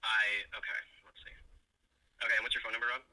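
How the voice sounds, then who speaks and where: A man's voice: on the low side, little pitch movement, fast, sharp tone, no echo. A man working as an office assistant, heard over a telephone line, frank and businesslike. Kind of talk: office phone conversation. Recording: phone line.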